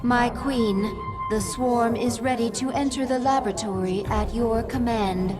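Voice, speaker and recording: Game creature role female actor: loyal alien aide, deferential formal speech on the high side, over clear music. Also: fairly even intonation, measured pace, lightly acted. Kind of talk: video game cutscene acting.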